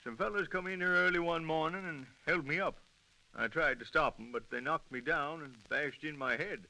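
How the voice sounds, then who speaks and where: An actor playing a kindly, plucky old blind gas station owner in an old-time radio drama, with folksy, hesitant speech. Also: male voice, fairly high pitch, moderate pace, creaky, sharp tone, full character voice. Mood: patient, friendly. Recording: noisy, clicking old radio drama recording.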